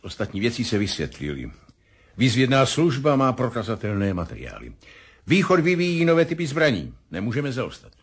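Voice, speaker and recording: A domineering military colonel, husky and sardonic. His pitch swings widely as he gives formal, pointed orders and questions, in the manner of seventies radio drama. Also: huge pitch swings, exaggerated voice. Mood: commanding. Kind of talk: 1970s radio drama acting.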